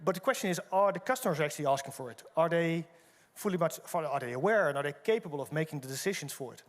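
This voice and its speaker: A man co-hosting a conversation on a conference stage, animated, tossing questions to the audience and often tagging on "right?". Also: on the high side, quick pace. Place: large conference hall stage. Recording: long reverb of a large hall.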